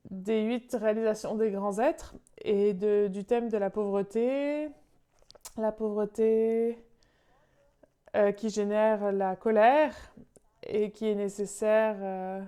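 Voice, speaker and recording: Slow, smooth-voiced woman interpreting. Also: mid-range voice, steady tone, dark tone. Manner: halting, searching for words. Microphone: video call.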